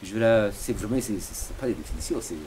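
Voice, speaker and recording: Very deep-voiced hesitant man, 40s. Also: animated, brisk, a little mellow. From Rwanda. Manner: hesitant, many repeated words and fillers. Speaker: interviewee, philosopher. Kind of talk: reflective interview answers. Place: at home. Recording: noisy home recording.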